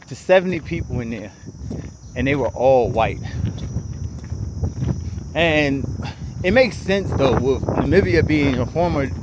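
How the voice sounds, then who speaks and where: A man filming a casual travel vlog while walking outdoors through quiet city streets, rambling chattily with lots of "like" and "um". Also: on the high side. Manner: rambling, chatty, many "like" and "um" fillers. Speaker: travel vlogger. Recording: outdoor street noise and traffic.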